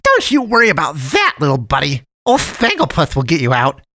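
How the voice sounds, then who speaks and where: A jolly, chubby storybook cat man, purring in a folksy drawl. His voice leaps high and loud and swoops all over as he coaxes and charms. The recording is dry and close. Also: wildly swooping pitch, fairly quick, no room sound, full character voice. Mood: friendly, jolly. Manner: sweetly cajoling, theatrical.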